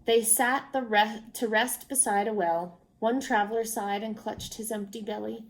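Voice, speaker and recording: A woman reading a children's storybook aloud, expressive and unhurried, as if to young listeners. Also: on the high side, rough recording. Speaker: storybook reader. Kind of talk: children's read-aloud narration.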